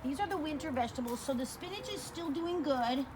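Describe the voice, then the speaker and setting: A woman's voice: sits high, expressive, fairly quick, a crowd in the background. A woman chatting casually as a gardening helper, recorded outdoors at a distance. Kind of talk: casual chat while gardening. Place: backyard garden.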